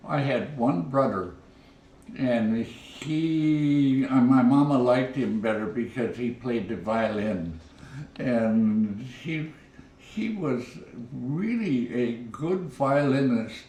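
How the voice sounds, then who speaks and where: Slow, halting man in his nineties. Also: mid-range voice, lively intonation, warm tone, echoey room, distant mic, low-quality recording. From Minnesota, USA. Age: 90s. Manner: halting, repeats words, chuckles. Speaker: interviewee. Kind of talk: oral history reminiscing. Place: living room at home.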